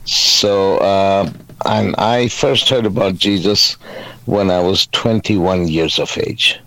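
Deep gravelly man telling his testimony. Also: steady tone, slow, fairly bright tone, poor audio. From Pakistan. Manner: hesitant, many fillers and restarts. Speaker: guest, evangelist. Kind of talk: testimony interview.

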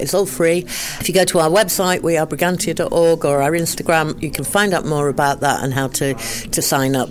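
A woman from Leeds, speaking enthusiastically and fluently as a guest on a community radio interview, with occasional restarts. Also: deep voice. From Leeds, England. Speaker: arts charity organiser.

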